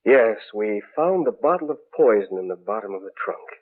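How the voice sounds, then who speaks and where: Low, husky, troubled older father. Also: animated, normal speed. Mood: solemn grief. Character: troubled, grieving older father of a grown daughter. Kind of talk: 1940s radio drama acting. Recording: muffled, tinny old radio recording.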